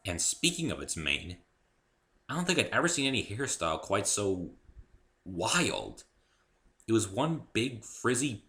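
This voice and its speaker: A man's voice: sits low, a bit bright. A man giving a dramatic first-person reading of his own story, theatrical and emphatic.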